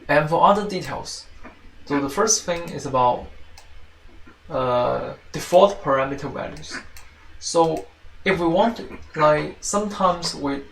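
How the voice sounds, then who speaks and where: A man with a Chinese accent giving an informal tutorial as a teaching assistant, halting and often repeating and rephrasing himself. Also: fairly high pitch, gravelly, fairly bright tone. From China. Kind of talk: informal spoken tutorial walkthrough. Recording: roomy, distant mic, faint noise and clicks.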